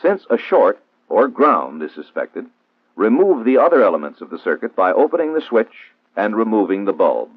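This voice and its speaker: A thin, narrow-band old film soundtrack carries an American man narrating a 1960s training film. His fairly low voice is formal and didactic, with lively rises and falls as he gives measured instruction. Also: breathy edge, dry recording.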